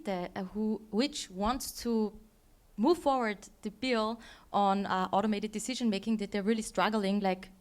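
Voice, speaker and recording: A woman's voice: mid-range voice, a little mellow. A woman from Austria, speaking as a panelist on a conference stage, thoughtful and correcting herself as she searches for words.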